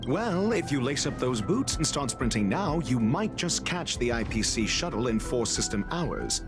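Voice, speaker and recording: An actor playing a haughty, sarcastic scholar in a video game, questioning with pompous, condescending, ornate phrasing. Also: male voice, medium pitch, sing-song, normal speed. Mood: smug, dismissive. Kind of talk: scripted game dialogue. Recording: game music behind.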